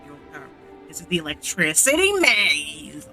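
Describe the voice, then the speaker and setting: Male streamer, informal gameplay chat, distinctly high pitch, clear game music in the background. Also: huge pitch swings, moderate pace, bright, forceful tone. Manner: informal, chatty. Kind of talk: casual gameplay commentary.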